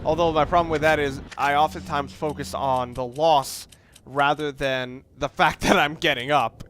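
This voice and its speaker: A man's voice: fairly high pitch, fairly even intonation, normal speed, fairly bright tone, slight background noise. A man giving casual, rambling let's play commentary, explaining and reflecting as he plays, with game sounds behind him. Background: video game sounds.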